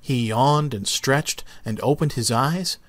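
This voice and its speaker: A man narrating a children's book as an expressive read-aloud. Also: medium pitch, takes it slowly, a little hiss or hum. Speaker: storybook narrator.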